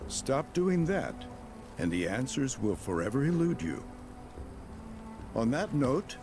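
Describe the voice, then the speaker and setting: A man playing a courteous, solemn scholar who speaks formally in a video game cutscene, over music. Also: mid-range voice. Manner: formal, measured, encouraging.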